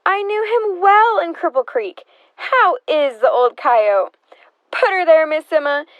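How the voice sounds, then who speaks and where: A woman's voice: very high-pitched, lively intonation, a little slow, no echo, lo-fi sound. A young woman acting a brash, nosy, slangy frontier reporter in an audio stage play, bold and pushy. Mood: chummy, wheedling. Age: young adult.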